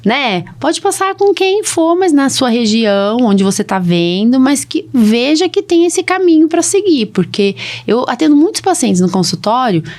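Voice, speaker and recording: A woman's voice: high voice, big pitch swings, normal speed, hardly any pauses, darkish tone. A woman with a Brazilian Portuguese accent hosting a casual podcast as a physician, explaining things chattily and often checking for agreement with short tag questions.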